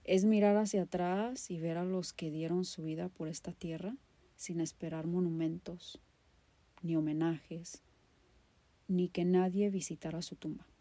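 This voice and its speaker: A woman's voice: on the low side, steady tone, a little slow, mellow, dry recording. A woman with a Mexican accent hosting a podcast, delivering a personal, poetic monologue in an impassioned, emphatic way with dramatic repetition. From Guadalajara, Mexico. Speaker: podcast host.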